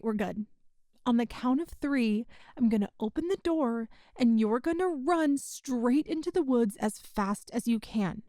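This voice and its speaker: A woman playing a kind, tough young rebel rescuer in an ASMR roleplay, with a folksy, hushed way of talking. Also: on the high side, no room sound, clean studio sound. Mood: urgent, reassuring. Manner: folksy, reassuring, hushed, uses "ain't".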